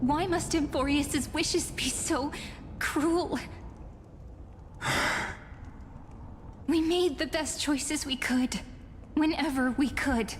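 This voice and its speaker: An actress playing a sorrowful, gentle young heroine in a game, speaking in a wistful, deliberate way. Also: female voice, high-pitched, slight background noise. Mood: anguished. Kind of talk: dramatic game voice acting.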